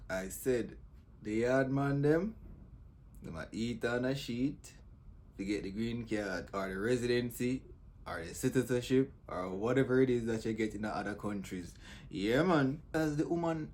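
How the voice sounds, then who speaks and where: A man's voice: medium pitch, darkish tone. A man with a Jamaican accent chatting casually to viewers as a host, in a teasing, informal patois. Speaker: host of a comedy compilation channel.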